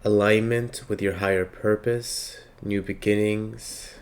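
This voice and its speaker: A man with a slightly raspy voice giving a slow, reflective spiritual monologue with many pauses. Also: on the low side, steady tone. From Palestinian heritage. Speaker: spiritual reader.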